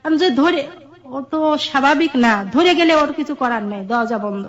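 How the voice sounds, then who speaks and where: Middle-aged woman, Bangladeshi accent, high voice. Earnest personal storyteller in interview, lo-fi sound. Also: animated, normal speed. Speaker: guest telling her life story. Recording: dull, muffled lo-fi audio.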